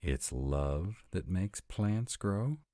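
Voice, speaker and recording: A man's voice: sits low, animated, drawn-out words, warm tone, no echo, exaggerated voice. A man playing a sarcastic, reluctant but devoted vampire mate in a scripted roleplay audio drama, with a dry manner. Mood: incredulous.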